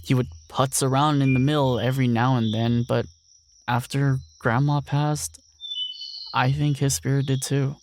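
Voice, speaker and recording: A man's voice: medium pitch, little pitch movement, measured pace, background noise, natural voice. A young man playing an earnest, lonely ranch heir, speaking a weary, reflective monologue in an audio drama. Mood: lost, weary.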